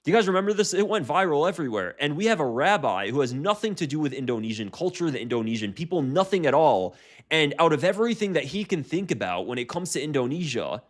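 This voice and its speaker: Heated American male commentator. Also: medium pitch. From United States. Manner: heated, repetitive restarts. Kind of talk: reaction commentary.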